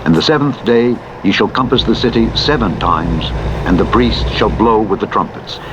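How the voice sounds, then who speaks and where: A man giving a solemn, measured and declamatory reading of scripture as a Bible narrator. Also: on the low side, dull audio. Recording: loud vehicle engine noise over voice. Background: truck driving simulator engine and road noise.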